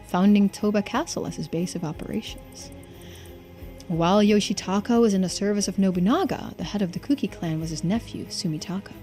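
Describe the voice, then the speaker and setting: A woman streaming a game, reading its text aloud in a measured way with muttered asides and small stumbles. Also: mid-range voice, warm tone.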